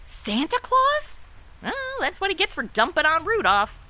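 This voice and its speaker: Woman playing a bright, sarcastic wisecracking sidekick. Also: very high voice, wildly swooping pitch, normal speed, full character voice. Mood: exasperated, sarcastic. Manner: sarcastic, exasperated, blunt. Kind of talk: comic audio drama acting.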